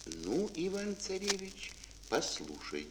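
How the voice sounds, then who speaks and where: Актёр играет мудрого и верного серого волка в детской сказке, говорит размеренно и наставительно. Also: male voice, sits high, huge pitch swings, heavily acted. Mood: cautioning. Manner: measured, admonishing. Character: wise, loyal male grey wolf, gruffly caring helper. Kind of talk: fairy-tale audio play acting. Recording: old mono record, faint noise.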